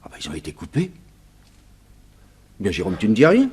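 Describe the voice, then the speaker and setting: A man's voice: lowish voice, huge pitch swings, fairly quick, darkish tone, breathy edge, full character voice. A man playing a bewildered, bossy old father, exclaiming and nagging in a 1960s radio serial. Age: older.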